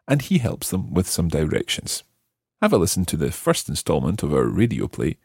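A man's voice: fairly low pitch, vocal fry, darkish tone, breathy edge, no room sound, clean studio sound. A man presenting a language lesson podcast in a conversational, explanatory style.

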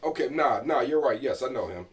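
A man's voice: medium pitch, animated, brisk. A man on a podcast in casual banter, speaking bluntly and sceptically with plenty of swearing. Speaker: podcast guest or co-host. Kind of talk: casual chat.

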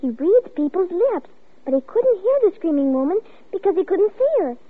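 A woman's voice: very high-pitched, big pitch swings, moderate pace. An actress playing an earnest, frightened, stubborn young girl in an old-time radio drama, pleading and urgent. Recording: tinny, noisy old radio recording.

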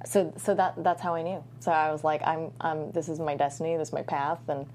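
A woman from Southern California with a deep voice, chatting in an interview as an actress guest, full of restarts and self-mocking laughs. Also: flat delivery, a little fast, darkish tone, a little hiss or hum. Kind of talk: casual interview chat.

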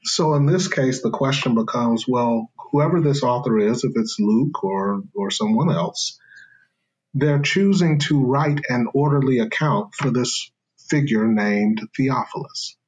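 A man's voice: mid-range voice, a little slow, rough recording. A man speaking as a scholar guest in an interview, explaining carefully in a lecturing way with fillers and restarts.

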